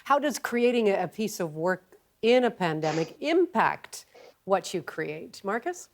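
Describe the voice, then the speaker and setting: A woman's voice: medium pitch, very animated, a little slow. A woman hosting a broadcast interview, conversational, engaged and curious. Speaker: interviewer, host.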